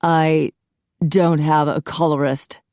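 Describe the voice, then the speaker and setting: Gruff male shapeshifter, acted by a woman, sullen, reluctant, muttering, lowish pitch, audiobook character. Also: unhurried, dry recording, studio quality. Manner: muttering, grumbling, hissing.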